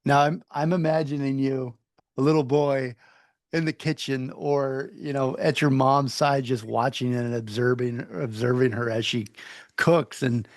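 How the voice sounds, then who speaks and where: A man hosting a podcast, asking questions in a halting way, repeating words as he goes. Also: medium pitch, fairly even intonation, dry recording, clean studio sound. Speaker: podcast host. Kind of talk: interview questions.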